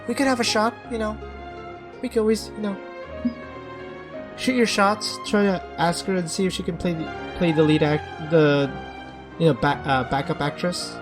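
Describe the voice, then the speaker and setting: A woman streamer giving casual commentary while playing a game, hesitant and rambling in the way she talks. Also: deep voice.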